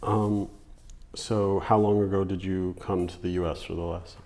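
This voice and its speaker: A man interviewing a guest for an oral history project, asking gentle, hesitant questions. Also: low-pitched, flat delivery, normal speed. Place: community center room.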